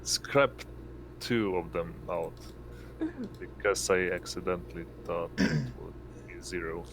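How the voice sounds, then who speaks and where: A man's voice: sits low, steady tone, slow, background noise. A man playing a tabletop roleplaying game, chatting casually at the table.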